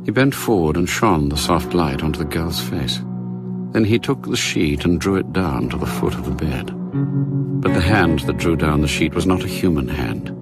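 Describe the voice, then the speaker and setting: A man narrating a spy thriller radio drama in a measured, precise, suspenseful manner, as a grave storyteller. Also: rumbling low. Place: studio, with music behind the voice.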